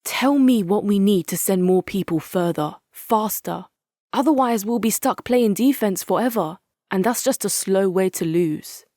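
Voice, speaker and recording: Actress as dry, resolute military commander. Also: female voice, mid-range voice, a little slow, warm tone, no echo, natural voice. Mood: urgent, frank. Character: hard-nosed, articulate female military commander, dry and resolute. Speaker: video game faction leader. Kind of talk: dramatic scripted game quotes.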